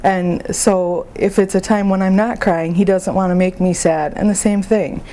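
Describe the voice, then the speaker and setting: A woman playing a grieving young mother in a support phone conversation, speaking haltingly and plainly about her feelings. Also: mid-range voice, steady tone, mellow, natural voice, low-quality recording. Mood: sad, hesitant. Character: grieving young mother, sad, exhausted, openly hurting. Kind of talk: role-played counseling phone conversation.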